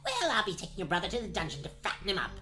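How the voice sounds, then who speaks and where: A woman's voice: fairly low pitch, huge pitch swings, rapid-fire. A woman voicing a crabby, half-blind old witch in a comedic radio play, sly, blustering and snappish. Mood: sly, wheedling. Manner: wheedling endearments, flustered bluster, snappish. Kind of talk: comedic radio play, gag-dub cartoon acting.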